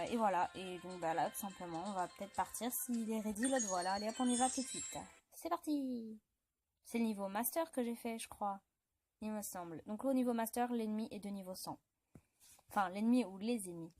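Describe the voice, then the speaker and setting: A woman with a cold and a dry throat, rambling and apologizing as she comments on her mobile game. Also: mid-range voice. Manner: chatty and rambling, often apologizing. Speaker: gaming channel host. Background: game music.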